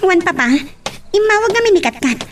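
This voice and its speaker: Very high-pitched, insistent young wife, woman acting. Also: very animated, fairly quick, fairly bright tone, slight background noise, full character voice. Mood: upset. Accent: Ilocano. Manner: insistent, questioning. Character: suspicious young wife, demanding the truth from her husband. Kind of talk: amateur Ilocano radio-style romance drama acting. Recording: distant from the microphone.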